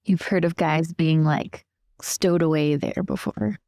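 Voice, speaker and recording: A woman's voice: deep voice, fairly even intonation, unhurried, dark tone, dry recording, studio quality. A woman playing a tabletop roleplaying game, improvising in a casual, chatty way. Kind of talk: casual improvised in-character chat.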